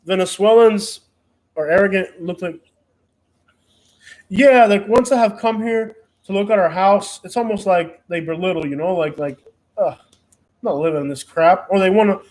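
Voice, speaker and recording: A man's voice: sits high, moderate pace, a little mellow. A man from Cuba, hosting a casual live chat and rambling with frequent restarts and fillers. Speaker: host.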